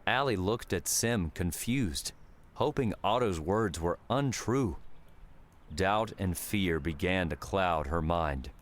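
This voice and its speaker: Male audiobook narrator: very low voice, markedly unhurried, dramatic scripted storytelling. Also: lively intonation, a little hiss or hum. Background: music.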